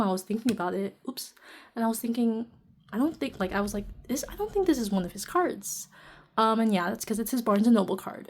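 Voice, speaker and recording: A woman vlogger chatting casually and a little quietly while sitting down at home, with lots of fillers and laughs. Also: medium pitch. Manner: talking softly while seated. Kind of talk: casual chatty vlog narration. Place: indoors at home, seated.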